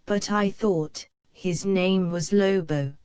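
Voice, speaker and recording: Slow, clear woman reading a storybook. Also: lowish voice, a little mellow, dry recording. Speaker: storybook reader. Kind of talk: slow read-aloud for young learners.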